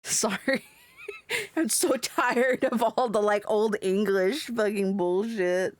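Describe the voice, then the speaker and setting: A woman's voice: mid-range voice, animated, slow. A woman livestreaming a video game, chatting casually and reading dialogue aloud in an irreverent, blunt, sweary manner.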